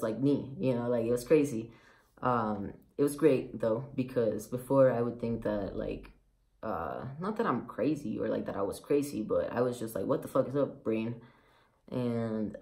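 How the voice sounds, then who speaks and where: A man's voice: medium pitch, a little mellow. A man of about twenty, raised in Mexico, with a light Mexican Spanish accent, talking candidly and rambling with many fillers in a casual personal vlog. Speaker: vlogger.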